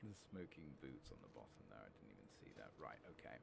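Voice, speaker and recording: Very deep, gravelly soft-spoken man. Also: big pitch swings, quick pace, background noise. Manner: hushed, intimate, at times slipping into whispers; casual, mildly sweary. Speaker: host of a gaming ASMR channel. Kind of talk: soft-spoken ASMR game playthrough commentary.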